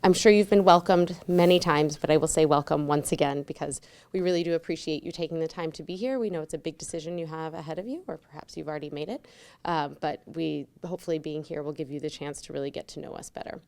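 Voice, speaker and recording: Low-pitched woman moderating a panel. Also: fast, creaky, darkish tone. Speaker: admissions director moderating a student panel. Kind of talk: welcoming moderator remarks.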